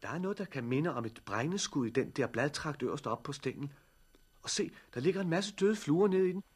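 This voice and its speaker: An actor playing an earnest, curious teenage boy who loves botany, in 1960s radio drama style, with a husky voice. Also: on the high side, lively intonation. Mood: eager, pleading.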